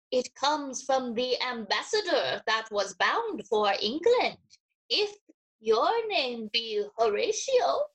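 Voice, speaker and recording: Casting: rustic common sailor, high swooping voice, unhurried, audio drama messenger. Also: female voice, a bit bright, full character voice, poor audio. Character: common sailor bringing a letter, casual and rustic.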